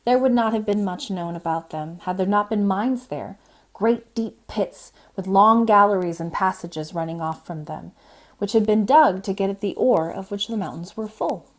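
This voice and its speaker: A woman reading a storybook aloud as a librarian host, in an expressive, measured storytelling manner. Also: medium pitch. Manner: expressive, measured storytelling with brief informal asides.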